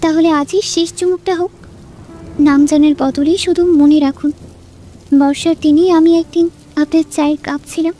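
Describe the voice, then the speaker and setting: A woman playing a wistful young woman in love in an audio drama, speaking in a soft, poetic, restrained way. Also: high voice, fairly even intonation, moderate pace, soft background music, natural voice. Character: wistful young woman, nameless coffee-shop companion in love.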